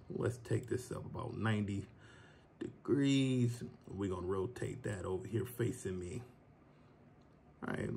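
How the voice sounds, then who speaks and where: A man in his thirties giving a relaxed, chatty software tutorial from his home office, recorded on a phone and talking to viewers as y'all. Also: low-pitched, vocal fry, slight background noise. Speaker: filmmaker teaching a tutorial. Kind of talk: casual screen-recorded tutorial. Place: green screen studio, then home office.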